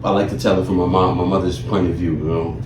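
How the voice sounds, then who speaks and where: A man in his late twenties from Ethiopia, telling his own story casually as an interview guest, halting and peppered with filler phrases. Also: low voice, little pitch movement. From Ethiopia, then Buffalo in the US from age eleven. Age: late 20s. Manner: halting, frequent "you know". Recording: roomy, distant mic, clear background noise.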